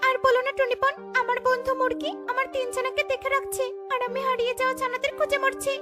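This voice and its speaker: A woman's voice: very high voice, level tone, fast talker, over loud music, pitched above the performer's own voice. A woman voicing a sorrowful, loving mother duck in a cartoon, with a plaintive way of speaking.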